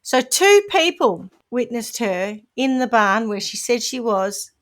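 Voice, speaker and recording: A woman with a New Zealand accent talks through a true-crime case on a casual podcast. Her voice sits fairly high, and she tells the story in an animated way with playful asides. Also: a little slow. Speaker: podcast host.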